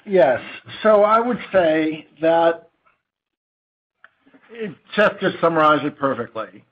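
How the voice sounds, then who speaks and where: Halting, higher-pitched man in muffled audio. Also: animated. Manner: halting, digressive, informal. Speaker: company chief executive. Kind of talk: unscripted earnings call presentation. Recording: dull lo-fi conference call audio.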